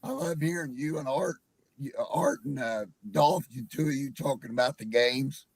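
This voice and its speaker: A hoarse man with a cold calling into a live sports chat, rambling and hesitant with many fillers. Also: mid-range voice, moderate pace, no room sound. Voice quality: gravelly, husky. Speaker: caller on a live panel stream. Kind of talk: casual call-in chat.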